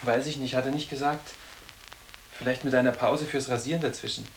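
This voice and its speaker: Actor as teenage male pupil, sarcastic, offhand, terse delivery, medium pitch, radio drama dialogue, noisy ambience. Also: steady tone, fairly quick, natural voice. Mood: blasé sarcasm. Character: sarcastic, blasé teenage boarding-school boy.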